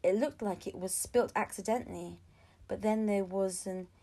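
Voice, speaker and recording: A woman's voice: medium pitch, moderate pace. A woman reading a children's storybook aloud, narrating expressively as an audiobook reader. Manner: expressive storytelling with acted-out dialogue.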